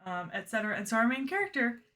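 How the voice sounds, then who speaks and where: A woman's voice: fairly high pitch, sing-song, fast, bright, forceful tone. A woman co-hosting a casual anime discussion, chatty and full of "like" fillers. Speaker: podcast co-host.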